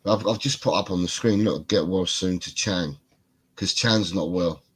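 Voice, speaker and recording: A man's voice: deep voice, normal speed, slightly rough. A man hosting a casual livestream chat with a British accent, congested and bunged up, rambling and repeating himself. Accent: British English. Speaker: podcast host.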